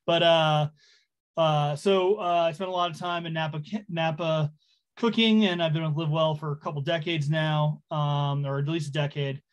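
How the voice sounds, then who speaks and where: A man's voice: on the high side, fairly even intonation, moderate pace, clean tone. A middle-aged man from Hawaii, a chef, talking casually and self-deprecatingly as a guest on a group call, with many ums. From Hawaii, USA.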